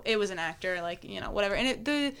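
A young woman with a hoarse voice, chatting casually and telling a long story full of fillers. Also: mid-range voice, lively intonation, fast, a bit bright. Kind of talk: casual chat.

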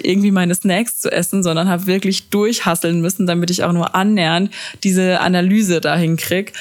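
Chatty young woman, slightly low voice. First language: German. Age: 20s. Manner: chatty, self-deprecating, mixes in English words. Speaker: podcast host. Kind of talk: casual chat.

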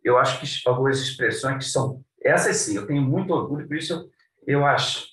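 A man's voice: medium pitch, fairly quick, a bit bright, echoey room, far from the mic, poor audio. A man from Cuiabá, Brazil, with a Cuiabá accent, a prosecutor answering interview questions with frequent restarts and fillers. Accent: Cuiabá Portuguese. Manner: hesitant, many restarts and fillers.

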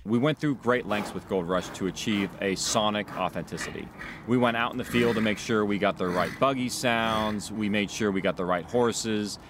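A man's voice: lowish voice, moderate pace, noisy background. A man speaking as an audio drama producer, earnestly explaining the craft in a documentary interview. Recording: faint music underneath. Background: faint music.

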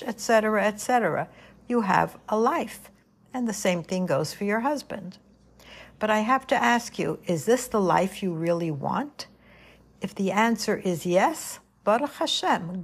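An older woman hosts her own podcast, speaking straight to her listeners in a gentle, earnest, motherly tone. Her voice is soothing, lowish and gravelly, with a husky edge. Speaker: podcast host. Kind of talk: reflective monologue podcast.

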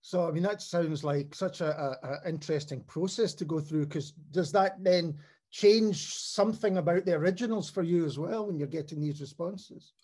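A man's voice: fairly high pitch, normal speed, a little mellow, husky. A man interviewing a poet, asking questions in a hesitant, searching way. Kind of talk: interview conversation.

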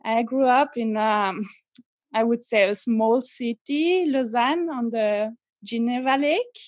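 Hesitant woman, slow speech. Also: on the high side, no room sound. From Switzerland. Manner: hesitant, many fillers, laughs as she talks. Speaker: guest. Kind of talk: casual interview.

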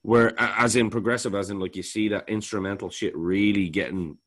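A man with a baritone voice, talking casually as a podcast co-host and rambling, often repeating words while he searches for them. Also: steady tone, a little fast. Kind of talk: casual music review chat.

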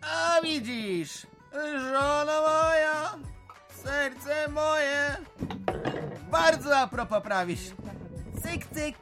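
An actor playing a jovial, tipsy middle-aged husband in an audio drama, teasing and mock-solemn in a theatrical way. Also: male voice, extremely high pitch, lively intonation, extremely slow, music in the background, exaggerated voice. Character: jovial tipsy husband and jokester uncle at a party. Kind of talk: Polish audio drama dialogue.

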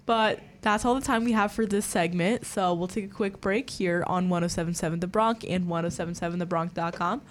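A woman's voice: lowish voice, brisk, hardly any pauses, slight background noise. A woman hosting a radio interview show, asking questions and reading the station break. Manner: brief encouraging interjections, reads station breaks.

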